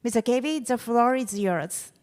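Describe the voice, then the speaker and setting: Reverberant female announcer with a high voice. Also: moderate pace, over music. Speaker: event announcer introducing speakers. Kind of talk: formal stage introduction. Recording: long hall reverberation.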